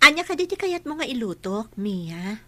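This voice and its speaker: A bright, slightly high young woman's voice. She is a polite, timid household helper in a radio drama, hesitant and respectful toward her employers. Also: sing-song, moderate pace, dry recording, heavily acted. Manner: hesitant, deferential. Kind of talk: Ilocano radio drama acting.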